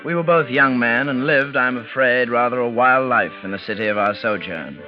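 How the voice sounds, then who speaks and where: An actor playing a restless young English gentleman who narrates in a measured, literary, dramatic radio style. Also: medium pitch, lightly acted. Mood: wry, reflective. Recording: muffled vintage radio recording. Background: music.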